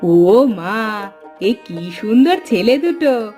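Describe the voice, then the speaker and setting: A woman voicing a doting, excited village mother in a cartoon fairy tale, gushing with drawn-out words. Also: medium pitch, faint music behind. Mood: delighted.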